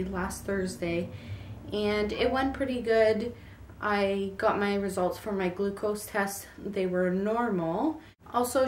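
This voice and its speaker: A woman's voice: medium pitch, slight background noise. Sore-throated young woman, echoey room. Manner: chatty, with frequent ums. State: raw, sore throat from a cold. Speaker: vlogger. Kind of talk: casual pregnancy update vlog. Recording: echoey room, mic at a distance.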